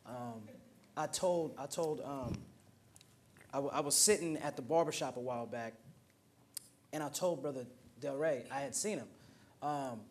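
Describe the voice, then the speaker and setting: A man's voice: medium pitch, normal speed, pauses often. A man in his twenties with an American accent, telling personal stories casually at a roundtable discussion. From Oakland, California, USA. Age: 20s. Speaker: roundtable participant. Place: indoor roundtable discussion at a museum.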